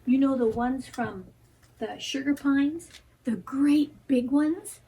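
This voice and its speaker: A woman voicing a kindly, patient male painter who mentors a boy, speaking in a gentle, encouraging, half-whispered storytelling manner. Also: extremely high pitch, slow pace, heavily acted, poor audio. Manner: gentle, encouraging, soft-spoken.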